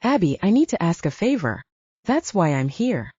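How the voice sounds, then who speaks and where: An elderly storybook grandfather, as voiced by a woman narrator, earnest and gently coaxing, with mellow tone and lively intonation. The recording is dry and slightly dull. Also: lowish voice, normal speed, breathy edge, dry recording. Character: kindly, stubborn elderly grandfather, a Bigfoot enthusiast. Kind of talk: audiobook character dialogue.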